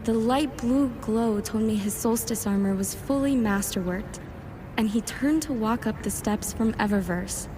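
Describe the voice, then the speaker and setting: A woman's voice: a little high, measured pace, background noise, close to an everyday voice. A woman playing an eager, self-doubting warlock scholar in an audio drama, chatty and wry as she narrates her own thoughts. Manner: wry, chatty inner monologue with muttered self-talk. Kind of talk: first-person audio drama narration and dialogue.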